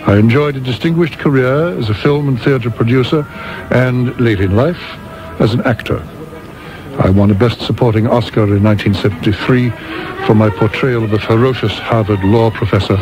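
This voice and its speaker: An actor playing an urbane, wry film and theatre producer, articulate, formal and dry, in a radio play. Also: male voice, mid-range voice, lightly acted, rough recording. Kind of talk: radio drama dialogue and monologue. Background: music.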